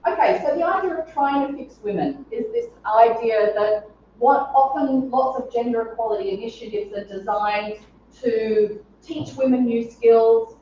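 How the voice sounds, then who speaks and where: A middle-aged woman professor with a slightly scratchy voice giving an academic conference lecture, explaining research clearly with occasional ums. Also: fairly high pitch, mellow. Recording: distant, echoey, dull-sounding room.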